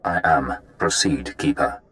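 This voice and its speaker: Sith lord, super deep voice, cold and solemn, formal, video game villain. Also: male voice, fairly even intonation, a little slow, fairly bright tone, clean tone. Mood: cold, commanding.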